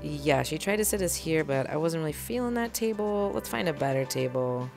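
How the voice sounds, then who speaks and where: A woman's voice: low-pitched, lively intonation, a little fast, vocal fry. A woman in her forties giving an upbeat, enthusiastic food review in a casual vlog style. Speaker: vlog host. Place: busy casino buffet restaurant, indoors. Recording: loud music and restaurant crowd noise. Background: loud music, crowd chatter.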